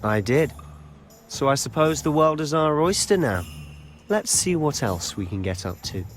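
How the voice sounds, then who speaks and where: A man's voice: fairly high pitch, lively intonation, moderate pace, natural voice. A young man with an English accent voicing a cheeky, spirited teenage wizard companion in a video game. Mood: pleased. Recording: loud music over the voice.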